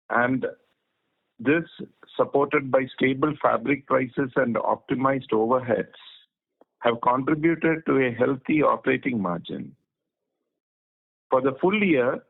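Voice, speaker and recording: A man's voice: medium pitch, vocal fry. A man with an Indian accent giving formal prepared business remarks as a managing director, phrase by phrase. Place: conference phone call. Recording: thin, tinny phone line.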